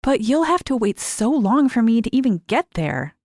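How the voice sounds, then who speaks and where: A woman's voice: on the high side, sing-song, normal speed, a little mellow, no room sound, clean studio sound, voice pushed up. A woman voicing an anxious, rule-abiding young girl in a story, hesitant and full of doubt. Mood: doubtful. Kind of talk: acted dialogue in story narration.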